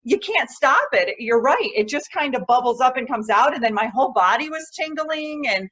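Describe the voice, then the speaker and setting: A woman's voice: high-pitched, expressive, normal speed, fairly bright tone. A woman hosting an interview podcast, eagerly describing her own experience and asking her guest questions. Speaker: podcast host. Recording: dull lo-fi sound.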